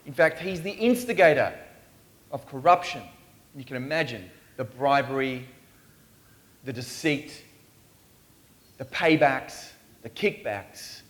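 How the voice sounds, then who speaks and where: A man's voice: medium pitch, animated, slow, pauses often. A man preaching a sermon in a church in Australia, earnest and pleading as he poses questions.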